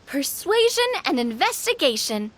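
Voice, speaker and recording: A woman's voice: sits high, very animated, normal speed, a bit bright. A woman playing a bubbly, confident, adventurous young lady in a video game, with an enthusiastic, teasing manner. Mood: playful confidence.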